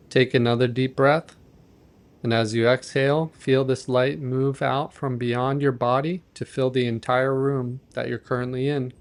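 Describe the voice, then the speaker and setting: Gentle man guiding a meditation. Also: medium pitch. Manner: gentle, measured, instructing softly. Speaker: meditation guide. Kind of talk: guided meditation narration.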